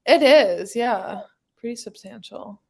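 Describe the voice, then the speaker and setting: A woman's voice: on the high side, expressive, takes it slowly, creaky, darkish tone. A woman chatting casually and informally as a host of a book readalong live show. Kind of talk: casual chat.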